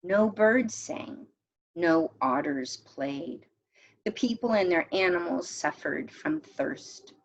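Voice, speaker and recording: A woman teacher reading a story aloud expressively, with deliberate dramatic pauses and hushed phrases. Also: lowish voice, animated, lo-fi sound.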